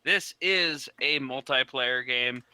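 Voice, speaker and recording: Very bright, dry-sounding man chatting. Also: mid-range voice, slow pace, clear, resonant tone, no echo. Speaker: podcast panelist. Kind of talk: casual chat.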